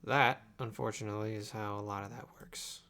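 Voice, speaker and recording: A man's voice: fairly low pitch, steady tone, brisk, sharp tone. A young man giving casual, offhand livestream commentary while he works at a computer, often muttering to himself. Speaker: video editor and streamer. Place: indoor room at a computer desk. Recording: clear background clicking and typing noise. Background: mouse clicks and keyboard typing.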